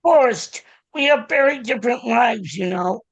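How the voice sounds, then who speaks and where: A woman's voice: on the high side, animated, normal speed, dry recording. A woman at a table read playing a free-spirited young hippie woman, tender but evasive. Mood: gently firm.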